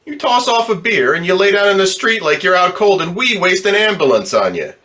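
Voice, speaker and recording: An actor playing a gruff, cynical, impatient ambulance driver in an old-time radio drama, with brusque streetwise talk. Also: male voice, sits high, big pitch swings, a little fast, pushed and bright, heavily acted. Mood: scornful, impatient.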